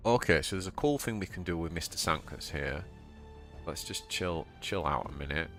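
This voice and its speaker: Excitable Yorkshire man streaming a game. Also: normal speed, soft background music. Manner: excitable, bursts into high yelps at surprises. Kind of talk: live gameplay commentary.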